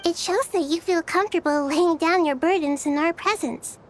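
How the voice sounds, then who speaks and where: A woman playing a kind, wise young girl in a fantasy game, speaking softly in measured, articulate phrases. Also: extremely high pitch, slight background noise. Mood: warm, reassuring.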